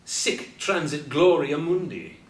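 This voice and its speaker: A man's voice: a little high, sing-song, takes it slowly. Distant, roomy actor as wordy aging Beat man. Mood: musing, wistful. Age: older. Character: wordy aging Beat poet, brooding doubter and music purist. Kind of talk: stage play dialogue. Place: live stage performance recorded from a distance. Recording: roomy, distant mic, faint background noise.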